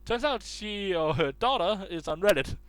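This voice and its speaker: A man's voice: high voice, expressive, moderate pace, a bit bright, dry recording. A man reading online posts aloud in a casual way, stumbling and restarting words as he goes.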